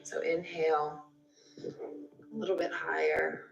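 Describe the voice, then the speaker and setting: A woman's voice: deep voice, little pitch movement, slow pace, gravelly, dull audio. Echoey woman yoga instructor over music. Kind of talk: guided yoga class instruction, step-by-step cues. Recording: roomy echo with music behind.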